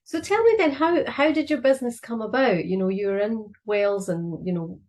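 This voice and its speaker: A woman's voice: mid-range voice, fairly quick, darkish tone. Encouraging woman interviewer. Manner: encouraging, short affirmations. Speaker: host, interviewer. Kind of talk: casual interview.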